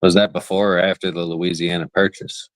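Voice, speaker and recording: A man co-hosting a casual podcast chat, relaxed but quick to argue a point, with plenty of "like". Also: low voice, dry recording. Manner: relaxed, argumentative, lots of "like". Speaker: podcast co-host.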